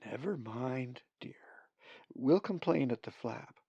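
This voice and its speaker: A kindly mother-hen of a raven from a storybook, put on by a male reader. She speaks softly and soothingly, full of sympathy, with some gravel in the voice. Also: mid-range voice, expressive, slow pace, no room sound, lo-fi sound, exaggerated voice. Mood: soothing, sympathetic.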